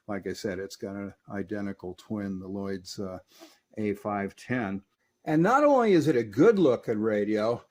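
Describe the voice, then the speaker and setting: A man's voice: fairly low pitch, darkish tone. A middle-aged man in a casual, chatty hands-on review, folksy and enthusiastic about old equipment. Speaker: radio repair reviewer.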